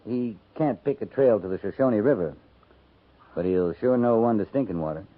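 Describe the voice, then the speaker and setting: A man acting out a gruff cavalry captain in an old radio play, his voice on the low side and his delivery a dry, quiet drawl. The sound is narrow and tinny, like a vintage broadcast over a phone-like line. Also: moderate pace, natural voice. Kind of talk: 1950s radio drama acting.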